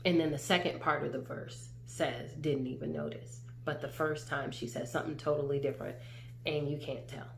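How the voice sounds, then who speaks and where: A woman's voice: sits low, fairly even intonation, normal speed, vocal fry. A woman casually explaining something from off camera. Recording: echoey room, faint hum.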